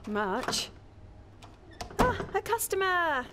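An actress playing a caring, chatty young daughter with a British accent in a radio soap. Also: female voice, extremely high pitch, big pitch swings, very slow, noisy background, full character voice. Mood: fussing, bright. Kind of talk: naturalistic radio soap acting.

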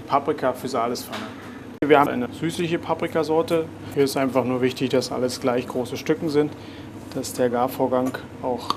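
Young chef explaining over a sizzling pan. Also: male voice, mid-range voice. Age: young adult. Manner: relaxed, plain explaining with frequent filler pauses. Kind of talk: cooking demonstration. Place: garden, greenhouse and restaurant kitchen. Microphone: lapel. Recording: sizzling kitchen noise behind. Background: kitchen noise and sizzling pan.

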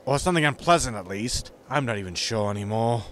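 An actor playing a cocky, quarrelsome spaceship captain, blunt, irritable and informal, in a science fiction comedy audio drama. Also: male voice, mid-range voice, lively intonation, quick pace, fairly bright tone, slight background noise, lightly acted. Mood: indignant, defiant.

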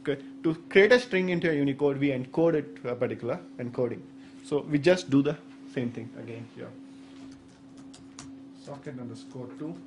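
A man's voice: medium pitch, fairly quick, a little hiss or hum. A man in his thirties with an Indian accent, a native Saurashtra speaker, giving a technical conference talk with hesitant explanations and many fillers. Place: medium indoor conference room. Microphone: stand microphone at the podium.

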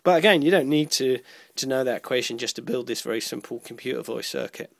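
A man giving an informal electronics tutorial, explaining step by step in a halting way, often repeating and rephrasing himself. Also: medium pitch, creaky, dry recording, clean studio sound. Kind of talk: informal explanatory lesson walking through a diagram.

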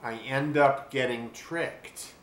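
An older man reading a children's storybook aloud expressively and deliberately, pausing to describe things. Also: medium pitch, fairly even intonation, fairly bright tone. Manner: deliberate, with pauses to describe the pictures. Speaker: children's book reader. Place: small furnished room at home. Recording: roomy webcam sound with faint hiss.

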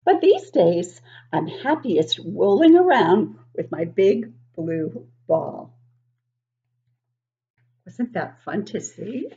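Woman, 60s, teacher reading to little kids. Unhurried, exaggerated, swooping delivery, slightly muffled home webcam recording. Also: mid-range voice. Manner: expressive, exaggerated, asks listeners questions. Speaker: teacher reading a picture book to children. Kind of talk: animated read-aloud for young children. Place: living room at home, indoors.

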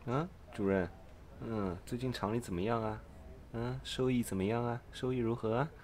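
A man's voice: mid-range voice, fairly even intonation, normal speed, a little mellow, slight background noise, close to an everyday voice. A man playing an eager, impatient purchasing agent in a parody radio drama, exclamatory and theatrical as he chums up to his boss. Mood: solicitous.

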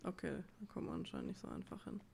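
A woman's voice: on the low side, huge pitch swings, rapid-fire, vocal fry, a little mellow, slight background noise. A woman giving casual gameplay commentary, musing to herself in an informal way. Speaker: let's player. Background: game music.

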